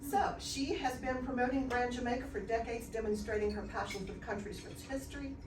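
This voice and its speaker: A woman's voice: on the high side, steady tone, a little fast, background noise. A woman hosting an event, reading out a formal, admiring introduction of the speaker. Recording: echoey room from far off, clatter behind.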